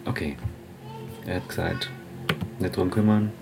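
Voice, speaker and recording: A man's voice: sits low, unhurried, gravelly. A man talking casually in terse, muttered fragments. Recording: prominent plucked guitar music bed. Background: plucked guitar music.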